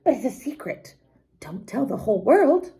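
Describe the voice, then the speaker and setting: A woman's voice: on the high side, sing-song, moderate pace, gravelly, mellow, voice pushed up. A woman voicing a crafty, cheerful poor farmer in a children's storybook, with a casual, sly manner. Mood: sly, playful.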